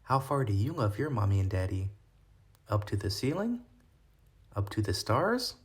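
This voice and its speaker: A man narrating a picture book for young children in a gentle, clearly enunciated way, as a storytime host. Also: on the low side, big pitch swings, normal speed. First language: English. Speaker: storybook reader and channel host. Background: music.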